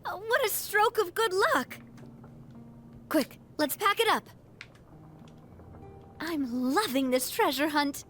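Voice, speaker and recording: A woman's voice: very high-pitched, lively intonation, takes it slowly, long pauses, a bit bright, faint music behind, full character voice. An actress playing an upbeat, gleeful young woman in a video game, with a sing-song delivery. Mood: excited, playful. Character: upbeat young woman, eager treasure-hunting game character. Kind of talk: video game character voice acting.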